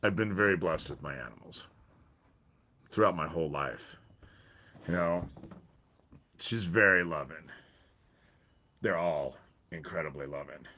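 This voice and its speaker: A man hosting a casual livestream from his back porch, with a deep voice and a stuffy head cold, rambling with pauses and restarts. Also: bright, forceful tone. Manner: rambling, pauses, self-interrupting. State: congested from a cold. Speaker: livestream host.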